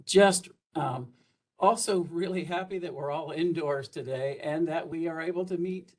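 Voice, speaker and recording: A man's voice: a little high, normal speed. Older man, college administrator, folksy welcome on a video call, lots of fillers. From South Georgia, USA. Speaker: college administrator introducing the president.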